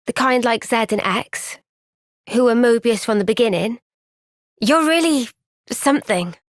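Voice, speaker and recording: An actress playing a young soldier heroine in a video game, earnest, gentle and resolute, speaking with soft, measured sincerity. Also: female voice, on the high side, dry recording, clean studio sound, close to an everyday voice. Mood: thoughtful, earnest. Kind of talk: video game cutscene voice acting.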